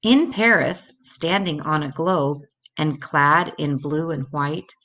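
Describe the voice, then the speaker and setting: An older woman reads a children's picture book aloud as a storyteller. Her voice sits slightly low for a woman. She takes the verse very slowly, phrasing each line deliberately for young listeners. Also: fairly bright tone. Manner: measured, deliberate read-aloud delivery. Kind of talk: scripted children's story reading in rhymed verse.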